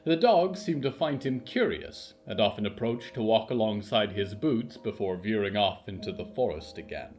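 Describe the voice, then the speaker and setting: A man's voice: fairly low pitch, expressive, moderate pace, hardly any pauses, a little mellow, faint music behind. A man narrating a scripted fiction story as its storyteller.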